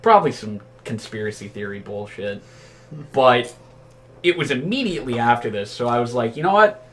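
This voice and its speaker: A man's voice: medium pitch, lively intonation, moderate pace, a bit bright. A man telling casual anecdotes among friends in a rambling, profane, incredulous way. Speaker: storyteller.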